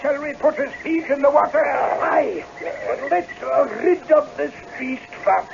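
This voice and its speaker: A man's voice: extremely high pitch, measured pace, gravelly, a crowd in the background, full character voice. An actor playing an anxious male servant to a laird in a radio drama. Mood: flustered, bossy. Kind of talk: old-time radio drama acting. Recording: muffled, noisy old radio recording.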